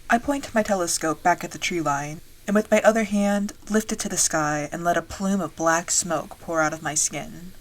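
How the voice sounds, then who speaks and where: A woman playing a wry dragon-riding soldier, narrating a fantasy audio drama in the first person in a measured storytelling way. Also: on the low side, lightly acted. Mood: composed, watchful.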